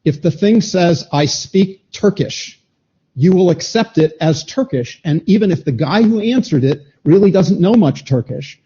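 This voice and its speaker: A man answering at a standards meeting, halting and repeating words as he restarts. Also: high voice, animated, warm tone, slightly rough. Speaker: meeting participant. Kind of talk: technical discussion at a standards meeting. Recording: dull lo-fi conference room audio.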